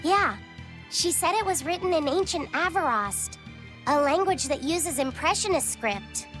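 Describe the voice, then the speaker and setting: A woman voicing a gentle, studious young boy in dubbed video game dialogue, earnest in manner. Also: very high voice, lively intonation, unhurried, faint music behind, full character voice. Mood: earnest, explaining.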